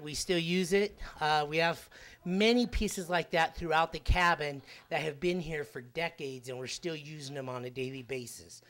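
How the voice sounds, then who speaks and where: A middle-aged man with a slightly high voice leads a guided tour through a ski lodge, talking into a handheld mic. He is friendly and rambles, using plenty of fillers as he points things out. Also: a bit bright. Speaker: club member giving a cabin tour. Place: indoors, ski lodge kitchen and dormitory.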